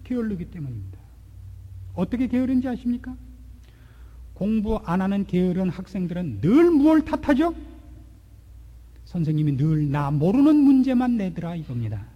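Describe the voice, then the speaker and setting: A man in his forties preaching a sermon, asking rhetorical questions and swinging between loud and hushed delivery. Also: sits high, very animated, moderate pace, pauses often, dull audio. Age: 40s. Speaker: pastor. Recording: long hall reverb.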